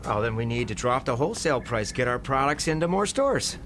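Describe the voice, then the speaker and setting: A man's voice: mid-range voice, big pitch swings, fairly quick, fairly bright tone, slight background noise. An actor playing a zealous, self-assured born-again American businessman and father in an audio drama, with a folksy, preacherly manner. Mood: defensive, irritated. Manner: folksy, preacherly, rhetorical questions. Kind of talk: audio drama acting, preaching speech and confessional narration. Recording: music playing behind the voice.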